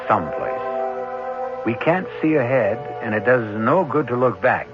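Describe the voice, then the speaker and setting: A man hosting and narrating an old-time radio drama in a solemn, portentous manner. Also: lowish voice. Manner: solemn, portentous, deliberate phrasing. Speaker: host and narrator. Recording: muffled old radio recording with music.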